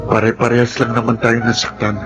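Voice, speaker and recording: A man's voice: mid-range voice, monotone, a little fast, close to an everyday voice, low-quality recording. A man acting an earnest, respectful, brave young son in a Filipino radio drama. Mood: earnest, reflective. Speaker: drama actor and first-person narrator. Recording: loud music under the voice.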